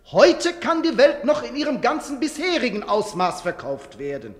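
A man's voice: extremely high pitch, very animated, moderate pace. An actor playing a suave, menacing male legal advocate, giving a persuasive formal oration in a radio play. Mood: earnest warning. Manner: rhetorical, persuasive, veiled menace.

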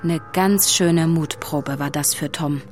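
A woman's voice: low voice, slow pace, a little mellow, soft background music. A woman narrating a scripted children's audio drama as storyteller.

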